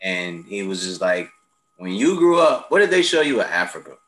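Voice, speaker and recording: A man's voice: deep voice, normal speed. A man in casual conversation, telling stories animatedly and acting out the people he quotes. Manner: animated storyteller, acts out quoted friends. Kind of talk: casual chat, storytelling. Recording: echoey room.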